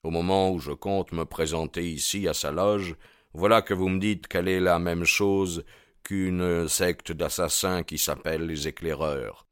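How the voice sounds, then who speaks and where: A man's voice: low-pitched, fairly even intonation, a little slow, clean tone, no room sound, studio quality. A man voicing a bold, ardent young man in an audiobook, proud and insistent in his delivery. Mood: indignant. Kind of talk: audiobook character dialogue.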